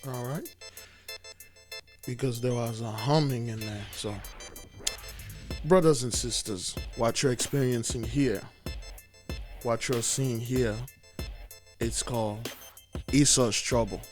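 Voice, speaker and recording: A man with a raspy voice hosting a religious video, preaching with emphatic stress on key words. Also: medium pitch, takes it slowly, pauses often, a little mellow. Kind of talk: preaching commentary. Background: faint music.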